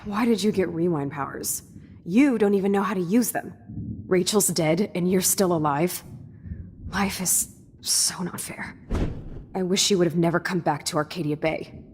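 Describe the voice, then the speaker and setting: A woman's voice: on the low side, normal speed, a little mellow, natural voice. A woman playing a bitter, foul-mouthed rebellious young woman in a video game, full of scorn. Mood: bitter accusing. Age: young adult. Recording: long reverb, faint noise.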